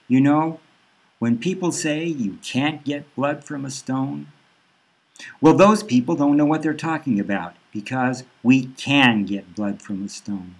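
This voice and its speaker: A man voicing a cold, menacing female bank loan officer who lays out threats in a flat, businesslike way. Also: medium pitch, breathy edge, poor audio. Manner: cool, threatening, matter-of-fact.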